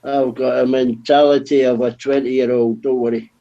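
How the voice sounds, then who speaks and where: Scottish man, late fifties, gravelly and mellow, dull lo-fi line, teasing chat guest. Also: mid-range voice, flat delivery, normal speed. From Scotland. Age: 50s. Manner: teasing, swears freely. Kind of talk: casual group chat.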